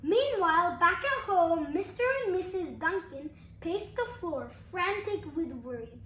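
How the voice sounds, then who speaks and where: Child storybook reader, very high voice, careful delivery, recorded in an echoey room. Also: expressive, unhurried, sharp tone. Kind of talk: storybook read-aloud. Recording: echoey room, faint background noise.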